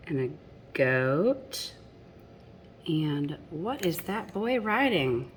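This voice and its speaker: A woman's voice: lowish voice, slight background noise. A woman reading a picture book aloud to children expressively, addressing young listeners directly with chatty asides. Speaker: storybook reader.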